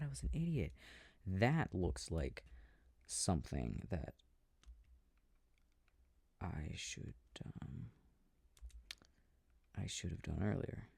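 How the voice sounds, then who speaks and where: A low, gravelly male actor's take on a boyfriend murmurs his way through a video game in an intimate sleep-aid roleplay. He sounds affectionate and easily confused, talking slowly and casually to himself. The recording is close and very dry. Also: mellow, no room sound, heavily acted. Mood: sheepish surprise. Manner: muttering to himself, hesitant, casual. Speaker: audio roleplay performer. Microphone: close microphone, dry. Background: controller clicking sounds.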